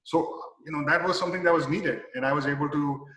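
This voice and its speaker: A man in his forties with a Pakistani accent, a company president answering interview questions in a halting, easygoing way with many restarts. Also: a little high, steady tone, pushed and bright. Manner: halting, many restarts and "you know" fillers, easy chuckles. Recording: echoey room with long reverb.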